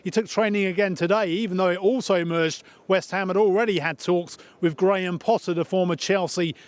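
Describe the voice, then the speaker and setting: Brisk male sports presenter. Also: high-pitched, steady tone. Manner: brisk, matter-of-fact delivery. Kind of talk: scripted broadcast sports bulletin.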